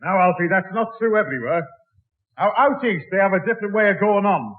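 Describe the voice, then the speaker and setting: A man's voice: sits high, lively intonation, a little fast. A man playing a matey working-class Liverpudlian in a 1960s radio sitcom, reeling off jokey patter and mangling long words. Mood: knowing. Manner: breezy, jokey, malaprop-prone patter. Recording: tinny old radio broadcast recording.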